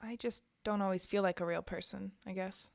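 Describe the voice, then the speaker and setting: Woman acting a guarded, creaky-voiced young misfit. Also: medium pitch, steady tone, brisk, natural voice. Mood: uncertain, searching. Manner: hesitant, downbeat, clipped answers. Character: guarded, self-doubting young woman, modded misfit trainee. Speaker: voice actor in an audio drama. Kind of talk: naturalistic audio drama dialogue.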